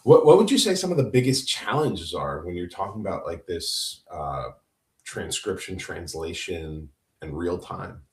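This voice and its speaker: A man's voice: deep voice, animated, normal speed, a little mellow, distant mic. A man hosting a podcast, reacting with enthusiasm and often asking "right?" to check in with his guest. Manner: enthusiastic, affirming, "right?" tag questions. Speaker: podcast host. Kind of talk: casual interview chat. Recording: echoey room, voice off-mic.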